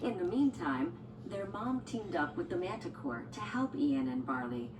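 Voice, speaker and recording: A woman's voice: mid-range voice, sing-song, moderate pace, vocal fry, noisy background. A woman reading a scripted children's storybook as narrator. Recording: echoey, distant, music behind.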